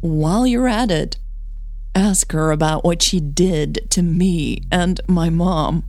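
A woman's voice: fairly low pitch, takes it slowly, a little mellow, no echo. A woman playing a guarded, easily wounded woman in love, speaking tensely in an audio drama. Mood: bitter, angry.

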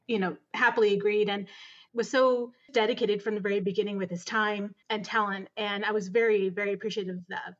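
A woman's voice: mid-range voice, muffled recording. A woman guest on a podcast interview, answering earnestly with frequent ums and laughs. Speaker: podcast guest, audio drama producer.

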